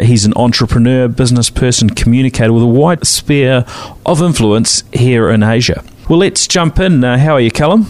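A man's voice: mid-range voice, darkish tone, dry recording. A man from New Zealand hosting a business podcast interview, asking questions in a friendly way. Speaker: podcast host.

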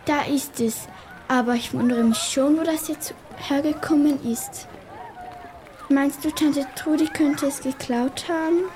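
A woman's voice: sits high, steady tone, a little slow, background noise. A young female performer playing an earnest, worried girl sleuth in a children's radio play. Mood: determined.

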